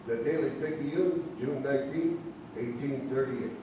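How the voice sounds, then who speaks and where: A man's voice: medium pitch, a little slow, lo-fi sound, close to an everyday voice. A man playing a scornful, pompous nineteenth-century reporter reading his report in a theatrical style. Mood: scornful, formal. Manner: pompous, formal. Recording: echoey noisy theatre, far from mic.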